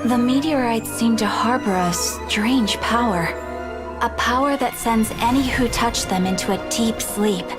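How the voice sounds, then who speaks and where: A woman voicing a calm, polite young receptionist of an adventurers' guild. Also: a little high, measured pace, natural voice. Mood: earnest. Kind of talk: video game voice acting. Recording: game cutscene with soft music.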